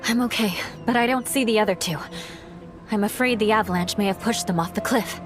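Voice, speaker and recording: A woman voicing a calm, level-headed young woman companion in a game, speaking in a steady, composed way. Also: medium pitch, fairly quick, close to an everyday voice. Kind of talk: game cutscene voice acting. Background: game music.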